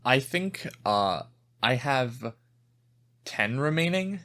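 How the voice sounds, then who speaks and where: Slow-spoken man playing a tabletop game. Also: medium pitch, expressive, pushed and bright. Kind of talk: game talk and measured character narration.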